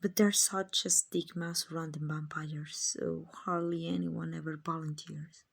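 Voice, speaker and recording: A woman acting as a kind, gentle female vampire who owns a mansion, in a hushed, breathy, reassuring ASMR roleplay. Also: low voice, little pitch movement, unhurried, full character voice. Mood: calm, explaining. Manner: hushed, breathy, tender and reassuring, often near whispering.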